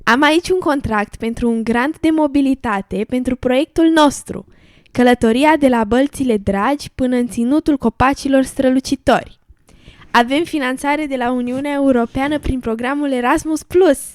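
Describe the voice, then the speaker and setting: A woman's voice: high voice, dry recording, studio quality, lightly acted. A woman playing an affectionate, cheerful little amphibian, a loyal friend, in an educational radio drama. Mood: pleased.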